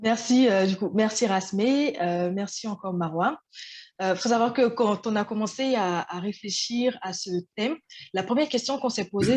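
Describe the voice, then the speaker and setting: A woman's voice: mid-range voice, a little mellow. Woman moderating a webinar. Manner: asks questions, hesitant with fillers.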